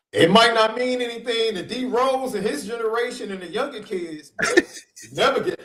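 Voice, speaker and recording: A man's voice: extremely high pitch, slight background noise. Stuffy-voiced man hosting, roomy echo. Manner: animated, laughs often, slows for emphasis. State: stuffy from a summer cold. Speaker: podcast host and minister. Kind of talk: casual sports podcast chat.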